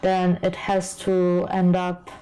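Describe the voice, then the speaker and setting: A woman's voice: on the low side, completely flat delivery, takes it slowly, dark tone, clear, resonant tone, poor audio. A woman in her twenties from Turkey, an exchange student, answering interview questions plainly. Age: 20s.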